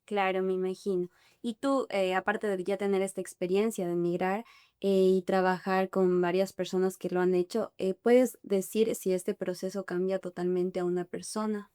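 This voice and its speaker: Podcast host lady, friendly questions, smooth clear voice. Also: mid-range voice, little pitch movement, normal speed, warm tone, no room sound, clean studio sound. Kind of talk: podcast interview.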